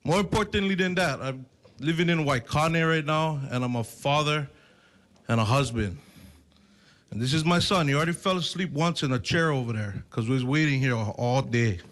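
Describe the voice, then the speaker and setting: A man from Hawaii, giving forceful, emphatic public testimony at a hearing. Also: a little high, normal speed.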